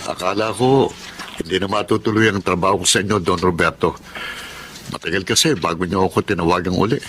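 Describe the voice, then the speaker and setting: An actor playing an earnest, hard-pressed Filipino son who works as a hired killer, in an audio melodrama. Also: male voice, low-pitched, fairly even intonation, brisk, lightly acted. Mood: businesslike. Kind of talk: Filipino radio-style melodrama acting. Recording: clearly noisy background.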